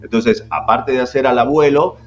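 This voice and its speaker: A man's voice: mid-range voice, moderate pace, lo-fi sound, slight background noise. A man in his forties with an Argentine accent, telling stories as a guest in an interview. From Jujuy, Argentina. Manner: chatty, many fillers. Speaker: voice actor guest. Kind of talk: relaxed interview storytelling.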